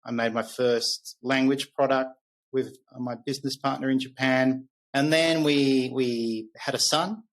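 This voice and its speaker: A man's voice: mid-range voice, steady tone, unhurried, poor audio. A middle-aged man with an Australian accent telling personal stories conversationally as a podcast guest, candid and reflective.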